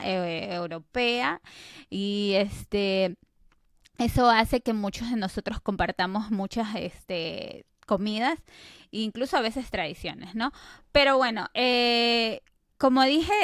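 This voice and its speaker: A woman's voice: medium pitch, a bit bright, clean tone, no room sound, studio quality. A woman from Ecuador co-hosting a podcast, chatting casually with frequent fillers. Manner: conversational, many fillers like "este" and "eh". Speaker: podcast co-host.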